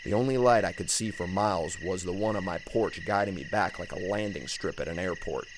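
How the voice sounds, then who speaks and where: A man narrating a scripted first-person horror story in an expressive, suspenseful storytelling manner. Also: low-pitched, brisk, background noise. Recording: music bed under narration. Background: background music.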